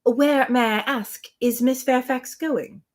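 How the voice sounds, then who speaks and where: A woman reading as a poised young gentlewoman. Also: sits high, takes it slowly. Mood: polite, restrained. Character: poised, sympathetic young gentlewoman, politely probing.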